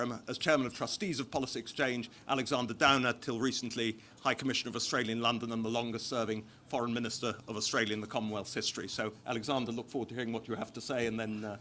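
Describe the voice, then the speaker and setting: A man hosts an evening reception with courteous, halting introductions. He talks quickly in a bright tone. Faint room ambience sits behind him. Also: mid-range voice, slight background noise. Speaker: event host. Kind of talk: formal welcome and introductions.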